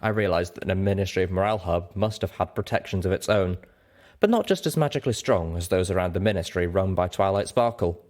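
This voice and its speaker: A man reading fiction aloud as an audiobook narrator, in an animated storytelling style. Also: sits low, quick pace. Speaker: audiobook reader.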